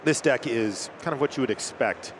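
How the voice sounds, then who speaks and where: A man commentating a card game tournament in a lively, conversational way, with filler words here and there. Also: medium pitch, normal speed, noisy background. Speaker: esports commentator. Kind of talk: play-by-play commentary.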